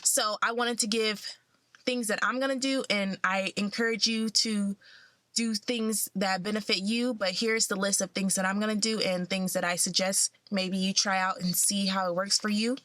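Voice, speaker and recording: A woman's voice: mid-range voice, fairly even intonation, normal speed, a bit bright, dry recording. A young woman who sounds a bit congested, talking in a casual, upbeat and encouraging way to her vlog followers. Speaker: vlogger.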